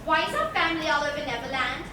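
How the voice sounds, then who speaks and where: A woman's voice: high-pitched, lively intonation, fast talker. A woman playing an earnest, protective young girl with urgent, emphatic delivery in a live stage play. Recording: echoey theatre, distant mics, noisy room.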